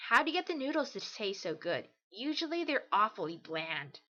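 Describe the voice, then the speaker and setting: A woman's voice: on the high side, big pitch swings, normal speed, pushed and bright, muffled recording, full character voice. A woman voicing a brash, casual young female pegasus pony, blunt and enthusiastic, in a story reading. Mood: curious, impressed. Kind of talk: fan audiobook character acting.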